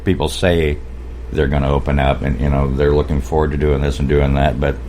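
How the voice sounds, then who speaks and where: A man hosting a radio talk show, interviewing a guest in an easygoing way with lots of fillers and quick agreement. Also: very deep voice, flat delivery, dark tone. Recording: noisy radio recording.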